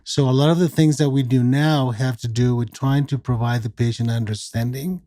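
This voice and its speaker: A man in his sixties from Mexico talks in a measured, explanatory way, pausing on fillers as he lays out his thinking. His voice is mellow and warm, recorded dry and close with little room sound. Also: medium pitch, steady tone, dry recording. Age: 60s. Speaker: psychiatrist guest. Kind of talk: conversational podcast interview.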